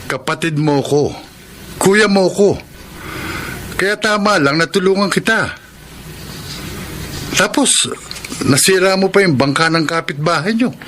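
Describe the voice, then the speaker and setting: An actor playing a protective, decisive older brother in a Filipino radio drama, insistent and caring. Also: male voice, high voice, unhurried, long pauses, a bit bright, slight background noise. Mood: firm, reassuring. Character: protective, decisive elder brother working abroad.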